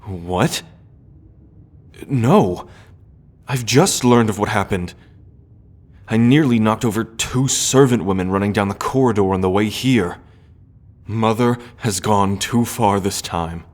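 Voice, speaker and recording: Low, slow-spoken earnest young prince acted by a man. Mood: concerned, earnest. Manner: gentle, formal, measured with weighty pauses. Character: young adult prince, earnest, sorrowful, loyal brother. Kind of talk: fantasy audio roleplay acting.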